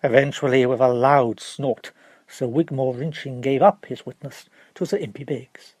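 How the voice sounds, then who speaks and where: A man reading literary narration for an audiobook in a formal, expressive storytelling manner. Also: mid-range voice, moderate pace, dry recording.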